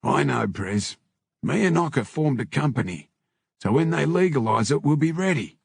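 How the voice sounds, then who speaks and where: A man voicing a matey, blunt male biker in an audiobook, talking in rough slang. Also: mid-range voice, animated, normal speed, no echo, studio quality, pitched above the performer's own voice. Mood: casual, scheming. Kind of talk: audiobook character dialogue.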